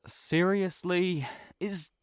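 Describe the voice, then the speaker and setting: A man's voice: high voice, fairly even intonation, smooth, no room sound, voice pushed up. A man playing a lovelorn shapeshifting monster posing as a friend in an intimate audio roleplay, speaking in an earnest, hesitant, pleading way. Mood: defensive, hurt. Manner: hesitant, halting, emotionally pleading.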